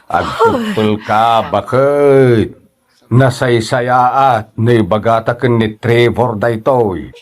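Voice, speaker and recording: A man's voice: mid-range voice, expressive, very slow. A man with an Ilocano accent playing an older father in an audio drama, drawing out his words as he chides his son. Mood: calming, grave.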